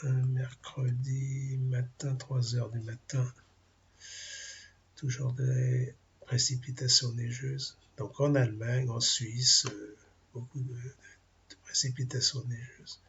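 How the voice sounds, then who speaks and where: A man with a cold and a French accent giving an informal weather briefing, halting and full of fillers as he talks through maps. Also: mid-range voice, flat delivery, a bit bright, dull audio. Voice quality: gravelly, husky. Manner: halting, many fillers, thinking aloud over weather maps. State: has a cold, clears throat. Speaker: amateur weather forecaster.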